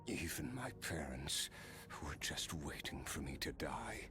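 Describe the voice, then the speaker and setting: An actor playing an ancient cursed nobleman swordsman in a game, telling his story in a halting, weary, measured way. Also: very deep voice, big pitch swings, over music, exaggerated voice. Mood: wistful, somber. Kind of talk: dramatic game dialogue, slow storytelling.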